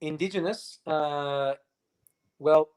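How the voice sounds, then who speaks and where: A man's voice: on the high side, takes it slowly, darkish tone, clean tone. A man in his fifties, a guest in a casual interview, who speaks emphatically with many fillers and restarts. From Australia. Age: 50s.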